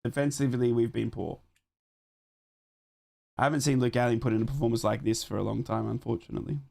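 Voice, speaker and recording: Hoarse male streamer, casual football watchalong host, mid pitch, reads scores and donations. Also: steady tone, fast. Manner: chatty, reads live scores and donations aloud, shouts on donations. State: losing his voice, a bit sick. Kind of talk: casual live football commentary and chat.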